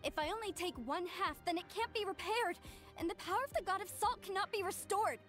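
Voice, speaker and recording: A woman's voice: very high voice, brisk, fairly bright tone, soft background music. An actress playing an earnest, zealous young woman in a video game, with a formal, impassioned manner. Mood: desperate, defiant. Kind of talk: English game voice acting.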